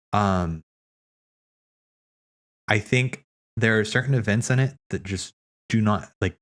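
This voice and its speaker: A man streaming casual, rambling commentary, thinking aloud as he hosts, often repeating his words. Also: fairly low pitch. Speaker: streamer hosting a watch party.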